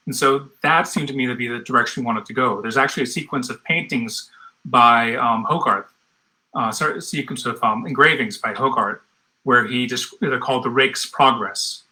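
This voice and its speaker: A man with a very bright, sharp voice explains his choices as a translator on a panel. He talks thoughtfully and stops often to search for words. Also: medium pitch, normal speed, low-quality recording. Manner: thoughtful, hesitant, many fillers. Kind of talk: panel discussion.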